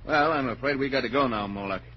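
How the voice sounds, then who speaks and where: A man's voice: mid-range voice, quick pace, lightly acted. Man playing blunt folksy police inspector, muffled radio. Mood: polite, puzzled. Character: plain-spoken, folksy, skeptical police inspector. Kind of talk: 1940s radio drama acting. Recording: noisy, muffled 1940s radio recording.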